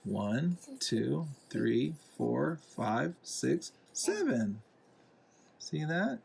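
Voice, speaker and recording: A man, a father, reading a picture book aloud to a young child in a deliberate, gently explaining, instructive way. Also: mid-range voice, sing-song, creaky, slightly rough. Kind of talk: read-aloud storybook narration with asides to a young child.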